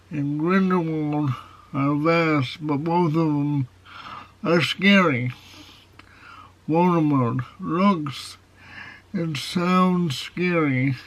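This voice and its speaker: A man with a nasal, foghorn-like voice reviewing a movie in a casual video. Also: a little high. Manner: slow, measured delivery with plain opinions. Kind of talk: casual film review to camera. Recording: dull, lo-fi sound.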